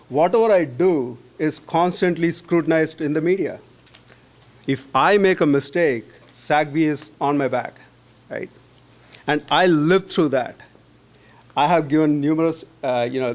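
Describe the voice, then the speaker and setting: A man giving a persuasive candidate speech at a homeowners' meeting, earnestly making his case and often checking in with a quick "right?". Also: fairly high pitch. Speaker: board candidate.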